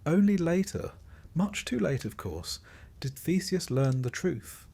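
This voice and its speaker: A man narrating a scripted bedtime story in a measured way, with dry, wry understatement. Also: on the high side, sing-song, gravelly, warm tone.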